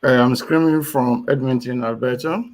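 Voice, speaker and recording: A man's voice: mid-range voice, fairly even intonation, moderate pace. A man from Ghana, an oral historian, introducing himself briefly and matter-of-factly on an online panel call.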